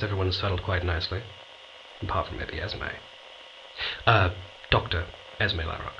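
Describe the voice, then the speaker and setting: An actor playing an easygoing mission commander in a science fiction audio drama, speaking informally and with some hesitation. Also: male voice, low voice, dull audio, a little hiss or hum. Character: easygoing male mission commander of a space crew.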